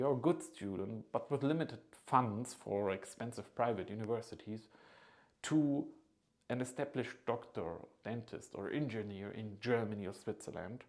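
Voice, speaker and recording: A man in his thirties giving an informal, earnest promotional pitch as a consultant, persuasive and often checking in with the listener. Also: a little mellow. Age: 30s. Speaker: agency founder and study consultant.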